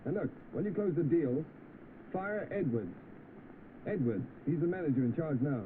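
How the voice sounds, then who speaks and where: An actor with a British accent playing a plain-spoken, defiant young chauffeur in an old film. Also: male voice, on the high side, expressive, moderate pace, lightly acted. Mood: brisk. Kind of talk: vintage film acting. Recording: tinny, noisy old film soundtrack.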